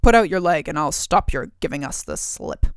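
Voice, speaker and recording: A woman voicing a greedy, gruff man who eats children, speaking in an old-fashioned country dialect. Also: deep voice, wildly swooping pitch, normal speed, no echo, clean studio sound, pitched below the performer's own voice. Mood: menacing.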